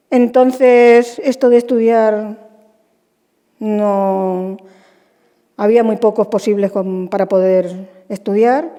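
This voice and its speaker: A woman of seventy from Almansa, a lifelong shoe stitcher, speaks in a steady, reflective way. Her voice is warm and mellow. The room adds a long reverb. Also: medium pitch. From Almansa, Spain. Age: 70s. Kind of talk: documentary interview testimony.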